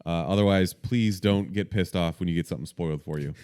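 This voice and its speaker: A young man hosting a casual movie podcast, brisk and a bit sweary, running the segments. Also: sits low, a little mellow. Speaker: podcast host.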